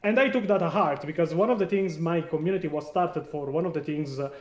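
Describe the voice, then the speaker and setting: A man with an Italian accent, a non-native English speaker, talking as a guest on a live stream in an animated way with many fillers. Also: on the high side, fast talker, roomy sound, distant mic. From Italy. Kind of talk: casual live stream discussion.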